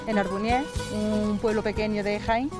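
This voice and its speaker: A woman interviewed outdoors, speaking in a measured, promotional way about her village. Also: medium pitch, level tone, over music. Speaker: local interviewee. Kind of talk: street interview.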